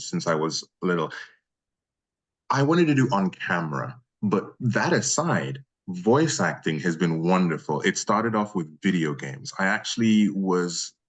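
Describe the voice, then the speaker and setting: A man with a deep bass voice, speaking casually and effusively as a voice actor being interviewed.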